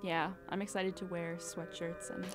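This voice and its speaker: Soft-spoken young woman, laid-back. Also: fairly low pitch, little pitch movement, moderate pace, music in the background. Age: young adult. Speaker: co-host. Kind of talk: casual chat. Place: college radio studio.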